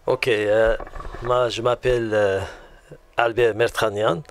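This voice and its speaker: A man from Lebanon with a Lebanese accent, giving a personal religious testimony in a halting way that often circles back on itself. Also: on the low side, flat delivery.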